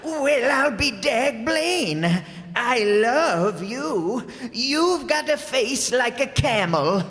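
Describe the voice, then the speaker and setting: A man playing a cranky, folksy old husband in a radio comedy, reading haltingly, recorded in an echoey hall. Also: very high-pitched, lively intonation, a bit bright, full character voice. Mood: hammy, devoted.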